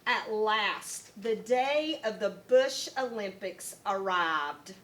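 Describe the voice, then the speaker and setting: A woman teacher reading a picture book aloud to young children in an expressive, deliberate storytelling manner. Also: medium pitch, slight background noise. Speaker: first grade teacher.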